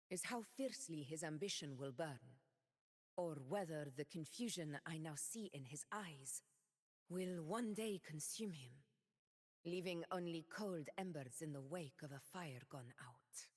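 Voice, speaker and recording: A proud ex-gladiator noblewoman in a game, slow and low, lots of reverb. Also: lively intonation. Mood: reflective. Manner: measured, solemn and dignified. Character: proud noble woman, former gladiator leader. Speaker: game character. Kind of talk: game character voice lines.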